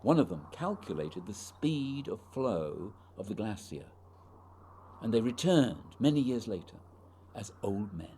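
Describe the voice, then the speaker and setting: Guy acting haunted explorer in a radio play, slow lyrical talk, bit hesitant, husky low voice. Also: big pitch swings, warm tone, a little hiss or hum. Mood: storytelling. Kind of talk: poetic radio drama acting.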